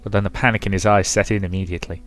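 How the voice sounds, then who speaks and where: A man's voice: sits low, flat delivery, racing, faint music behind. A man commentating on and reading aloud from a visual novel as a let's play host.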